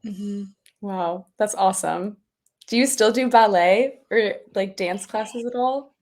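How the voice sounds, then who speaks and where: A woman's voice: mid-range voice, slow, darkish tone. A young American woman interviewing a guest on a video call, upbeat and encouraging, switching between Spanish and English. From United States. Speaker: executive manager, interviewer. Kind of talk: casual interview chat.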